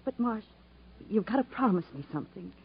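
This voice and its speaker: An actress playing a devoted, pleading young country wife in a 1950s radio melodrama. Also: female voice, medium pitch, expressive, brisk, slightly rough. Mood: tearful devotion. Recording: dull 1950s radio broadcast recording.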